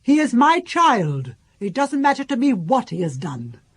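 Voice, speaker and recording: A woman's voice: on the high side, very animated, unhurried, slightly rough, heavily acted. A woman with a British accent playing a proud, fiercely possessive older mother in a radio drama, haughty and defiant. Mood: defiant, contemptuous.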